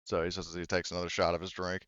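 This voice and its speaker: A man narrating a tabletop roleplaying game as game master, casually and haltingly, rephrasing as he goes. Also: low voice, monotone, fairly bright tone, smooth, no echo, dull audio.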